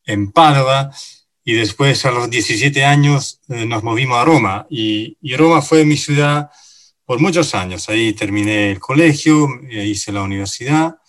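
A man from Italy, a native Italian speaker in his thirties, talking as an interview guest, often pausing and restarting his sentences. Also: medium pitch, a bit bright. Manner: halting, self-correcting, many fillers and restarts. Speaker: interview guest, finance director. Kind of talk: casual interview talk.

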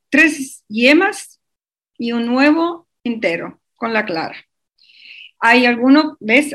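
A woman speaks slowly as a radio guest. She hesitates often, filling gaps and restarting her sentences. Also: a little high, a bit bright. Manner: hesitant, many fillers and restarts. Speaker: interview guest. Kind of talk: radio interview.

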